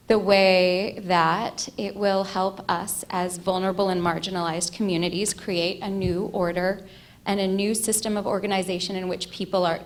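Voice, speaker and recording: Low-voiced woman from Egypt, earnest keynote. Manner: earnest, measured, loud speaking voice. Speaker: keynote speaker, ethicist and futurist. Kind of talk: keynote talk.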